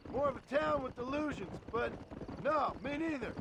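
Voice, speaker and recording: An actor playing a terse, guarded outlaw gunslinger with an American Western drawl and a dry manner in a video game. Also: male voice, extremely high pitch, normal speed, creaky, noisy background. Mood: guarded, wry. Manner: laconic, dry. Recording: music behind the voice, hoofbeats.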